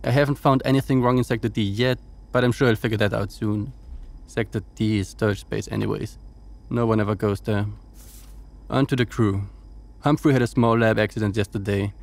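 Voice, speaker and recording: An actor playing a wry, dutiful officer logging reports on a space station in an audio drama, with dry, teasing delivery. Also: male voice, fairly low pitch, fairly even intonation, a little fast, creaky, a little mellow. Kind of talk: audio drama log monologue and dialogue.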